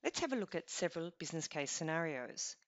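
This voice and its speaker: Low-voiced woman narrating a software demo. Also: fast, no room sound. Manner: even, instructional, step-by-step. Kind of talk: scripted screencast narration. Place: voice-over over screen recording. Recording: dull lo-fi voice-over.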